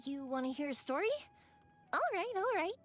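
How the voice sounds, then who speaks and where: A woman voicing a cheerful, warm-hearted young fireworks maker who tells stories in an animated, chatty way, as a video game character. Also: sits high, fairly quick, soft background music. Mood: storytelling. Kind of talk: video game character voice lines, conversational storytelling.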